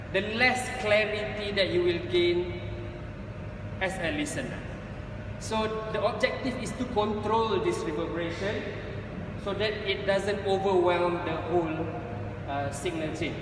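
A man's voice: sits high, noisy background. Man lecturing in a very echoey hall. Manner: explanatory, checks in with "okay?". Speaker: conference presenter. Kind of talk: technical conference talk. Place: large reverberant conference hall. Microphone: far camera. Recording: echoey hall recorded from far off.

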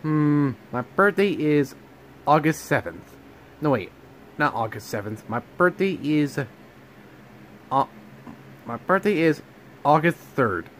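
A man's voice: a little high, animated, slow pace, long pauses, fairly bright tone, a little hiss or hum. A man voicing a smart man in his forties, a bit flustered, who stumbles over numbers and dates, in a plush toy home video. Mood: unsure. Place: home room with couch.

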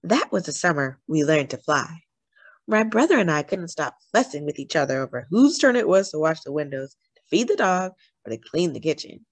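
Female storybook reader, slightly low pitch, highly expressive and deliberate, dry recording. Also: dry recording. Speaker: story reader, host of a read-aloud channel. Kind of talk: expressive children's picture book read-aloud.

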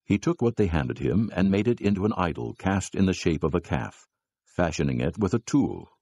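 Deep, gravelly male scripture narrator. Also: expressive, moderate pace, dark tone, dry recording.

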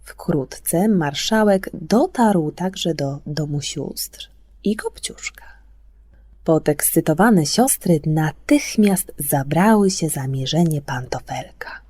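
A woman narrating a scripted fairy tale for children on the radio, expressive, often dropping into breathy near-whispers. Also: sits low, slow pace, no echo. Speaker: storyteller on a children's radio show.

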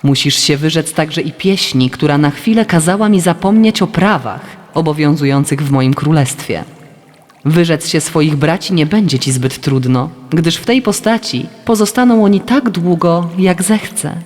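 A man's voice: on the high side, normal speed, in a large hall, close to an everyday voice. Man reading as a cruel, imperious lake queen. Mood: cold, commanding. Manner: imperious, cold. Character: beautiful, cruel, jealous queen of the lakes. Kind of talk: children's storybook reading.

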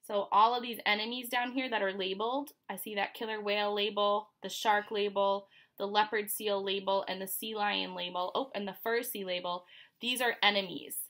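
A woman in her twenties with an American accent reads a children's picture book aloud like a teacher, pausing to explain and ask questions. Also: mid-range voice, fairly even intonation, a bit bright. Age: 20s. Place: small furnished room at home, indoors.

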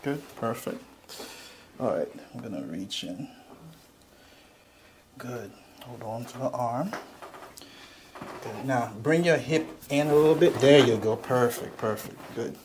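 A middle-aged man working as a chiropractor, calmly giving a patient hands-on instructions. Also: mid-range voice, unhurried, pauses often. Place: small chiropractic treatment room. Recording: clearly noisy room sound.

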